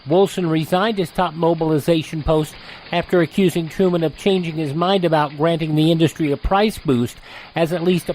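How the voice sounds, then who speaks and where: A man working as a radio host, reading announcements and pitches in a folksy, conversational way. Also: a little mellow, a little hiss or hum, poor audio. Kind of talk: host reads, sponsor pitch and old news headlines.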